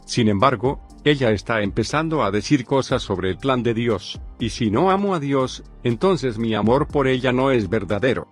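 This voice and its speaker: A man with a mid-range voice narrates a first-person story at an even, measured pace. Soft music runs quietly beneath him. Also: medium pitch. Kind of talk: reading a forum story aloud. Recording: faint background music.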